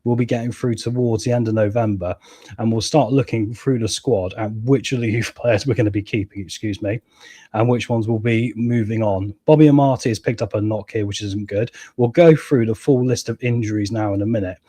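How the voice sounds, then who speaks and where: A man with a cold and a hoarse voice, chatting casually to viewers as he plays a football management game and reads out live chat. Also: on the low side, fairly even intonation, brisk, mellow, no room sound. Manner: chatty, keeps addressing viewers as guys and mate. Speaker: gaming channel host.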